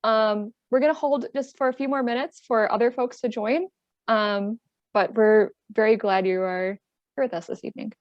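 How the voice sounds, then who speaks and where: A woman's voice: on the high side, normal speed, no room sound. A woman hosting a webinar on a video call, welcoming attendees and reading prepared remarks with frequent "um"s. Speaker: webinar host. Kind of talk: hosting an online panel. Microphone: computer mic on a video call. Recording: dull, dry video-call audio.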